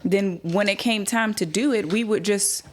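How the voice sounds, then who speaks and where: A young woman, a podcast guest in casual conversation, agreeable and quick to laugh. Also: mid-range voice. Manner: agreeable, laughs easily, mock-quotes herself.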